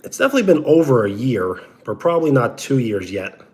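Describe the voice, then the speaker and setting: A man's voice: mid-range voice, very animated, quick pace. Brooklyn man greeting his live chat. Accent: Brooklyn, New York. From New York. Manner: greets chatters with a loud, stretched-out "yo"; talks like a sports radio personality. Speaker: live streamer. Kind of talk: casual live stream chat with viewers.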